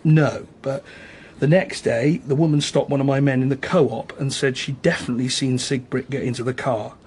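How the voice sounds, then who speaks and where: A man's voice: medium pitch, steady tone, moderate pace, darkish tone, a little hiss or hum, close to an everyday voice. A man playing a candid, chatty small-town police chief in a radio drama, conversational and slightly hesitant. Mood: earnest, confiding. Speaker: actor in a radio crime drama. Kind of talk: naturalistic radio drama dialogue.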